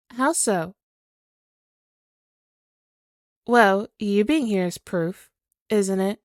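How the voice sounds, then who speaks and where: A tormented, possessive spirit speaks through a stolen female body, acted by a woman. The delivery is theatrical and unhurried, lingering on words at a middle pitch. It is an ASMR roleplay villainess, recorded very dry. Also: no echo. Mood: cool, knowing. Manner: slow, theatrical, brooding, dwelling on words. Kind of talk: ASMR dramatic roleplay monologue.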